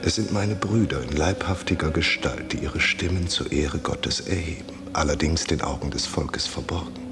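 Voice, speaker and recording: An actor in a radio drama playing a pious, solemn monk who speaks in formal, archaic phrases. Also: male voice, extremely low pitch, moderate pace. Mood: solemn, reproving. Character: solemn pious monk correcting cathedral visitors.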